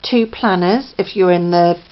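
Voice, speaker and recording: A woman with a slightly croaky voice, chatting casually at home as she shows her craft book, with lots of ums and self-corrections. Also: on the low side, measured pace, dull audio. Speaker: crafting vlogger. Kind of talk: casual show-and-tell. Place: indoors at home, downstairs near a patio.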